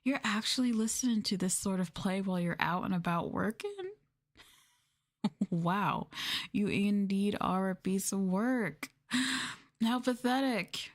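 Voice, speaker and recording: A woman streamer doing an ASMR roleplay, talking down to her listeners in a mocking, teasing, sultry way. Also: medium pitch. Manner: condescending, mocking, sultry taunting. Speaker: streamer, VTuber host.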